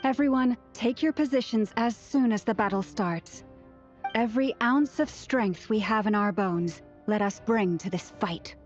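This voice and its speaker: A woman playing a young, earnest, devoted protector in a video game, gentle, sincere and solemn. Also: medium pitch, unhurried, lightly acted. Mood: solemn rallying. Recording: muffled game audio, faint music.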